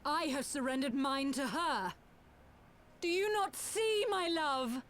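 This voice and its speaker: Devout, lovelorn young visionary: high-pitched, unhurried, earnest, theatrical fantasy game drama. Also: female voice, fairly bright tone, slight background noise. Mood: fervent, devoted. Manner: earnest, theatrical, archaic diction.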